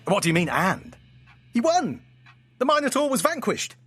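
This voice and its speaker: A playful, theatrical storyteller in an audio drama. The voice sits at a medium pitch, with hammy flourishes and hesitant, affectionate asides, and music plays softly underneath. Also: big pitch swings, normal speed, slightly rough. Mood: sheepish, defensive.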